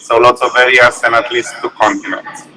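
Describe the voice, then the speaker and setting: A man's voice: mid-range voice, fairly even intonation, fairly quick, bright, forceful tone, slight background noise, poor audio. A man from Romania speaking as a remote expert panelist at a conference, talking loosely with many fillers, heard over call audio.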